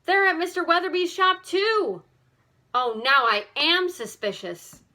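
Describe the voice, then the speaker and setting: Woman voicing an eager, bright-voiced teenage girl sleuth. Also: very high-pitched, a little slow, pitched above the performer's own voice, heavily acted. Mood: excited, suspicious. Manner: urgent, exclaiming. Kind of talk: animated children's mystery storybook reading.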